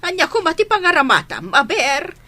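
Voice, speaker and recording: A woman's voice: extremely high pitch, wildly swooping pitch, normal speed, bright, forceful tone, heavily acted. An actress playing a scolding, tight-fisted mother in a radio comedy drama, with an Ilocano Filipino accent. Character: scolding mother who refuses to lend money.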